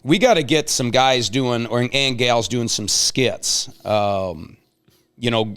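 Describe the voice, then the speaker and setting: A man's voice: mid-range voice, slow, a bit bright, clean tone. A middle-aged man hosting a casual chat, telling anecdotes and joking as he prods his guests. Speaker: show host.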